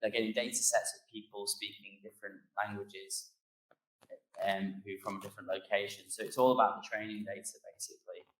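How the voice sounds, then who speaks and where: A man gives an informal conference talk in a fairly low voice. His intonation stays very flat as he explains things in a loose, conversational way. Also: a little fast, rough recording. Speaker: conference speaker. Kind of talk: informal presentation and audience Q&A.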